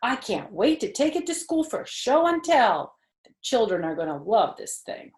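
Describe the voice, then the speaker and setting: A woman voicing a brash, excitable little girl who talks loud, drawn-out and boastful. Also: medium pitch, roomy sound, pitched above the performer's own voice. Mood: proud.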